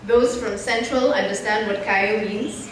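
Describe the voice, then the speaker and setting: A woman's voice: medium pitch, steady tone, unhurried, a bit bright. A woman gives a motivational talk to young people in a church, telling stories conversationally and addressing her listeners directly. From born in Nairobi, Kenya, partly raised abroad. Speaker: guest speaker and mentor. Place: large echoey indoor church space. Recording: very echoey hall, distant mic, faint noise.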